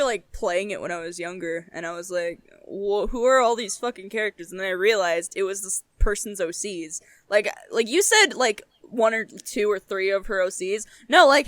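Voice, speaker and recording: A young woman co-hosting a podcast, chatting casually in an animated, emphatic way and swearing freely. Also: medium pitch, normal speed, few pauses, dry recording. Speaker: podcast co-host. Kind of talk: casual chat.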